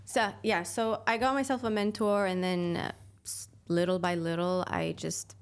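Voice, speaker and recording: A woman in her twenties from Sweden, a podcast guest talking casually and thoughtfully about her path, with fillers and soft laughs. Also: lowish voice, flat delivery, moderate pace, clear, resonant tone. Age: 20s. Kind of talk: casual interview chat.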